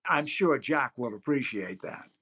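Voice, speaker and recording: An actor playing a polite, upbeat master of ceremonies in 1930s radio show style, on a slightly dull recording. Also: male voice, mid-range voice, lively intonation, measured pace, sharp tone, slightly rough, exaggerated voice. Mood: polite, reassuring. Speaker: show host.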